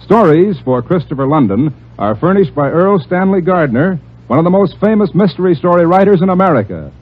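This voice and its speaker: High-pitched male vintage radio announcer. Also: very animated, a little fast, slightly rough. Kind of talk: 1950s radio announcing, scripted promotion. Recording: dull old radio broadcast.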